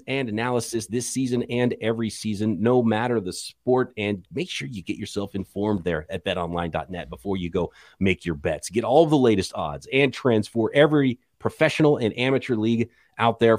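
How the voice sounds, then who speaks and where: A man co-hosting a sports podcast, talking casually with an animated, joking delivery. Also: fairly low pitch, dry recording, clean studio sound.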